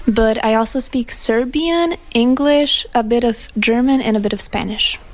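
A woman's voice: a little high, moderate pace. A young woman whose first language is Slovak, answering interview questions in English and often starting with "uh".

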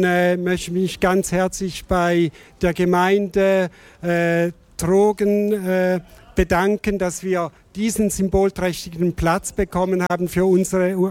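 Man, formal speech, halting, fairly high voice, faint music behind. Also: a little mellow. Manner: formal, halting, often pauses with "äh". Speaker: project organizer. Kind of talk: thank-you speech at an exhibition opening.

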